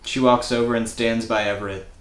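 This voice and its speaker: Male script narrator, mid-pitched, reading action lines in a roomy space. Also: fairly even intonation, brisk. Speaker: stage-direction reader. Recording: echoey room.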